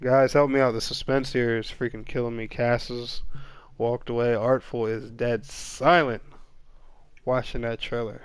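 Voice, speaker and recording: A man's voice: mid-range voice, level tone, a little slow, smooth, dry recording. Congested male stream host, chatty and apologetic; clean dry sound. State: congested with a cold. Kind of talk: casual gaming podcast chat.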